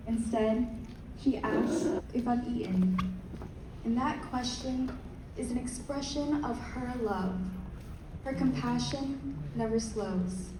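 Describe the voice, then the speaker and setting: A young woman, a pageant contestant, giving a rehearsed speech in a measured way. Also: a little high, background noise. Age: young adult. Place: large hall. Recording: echoey hall from far off.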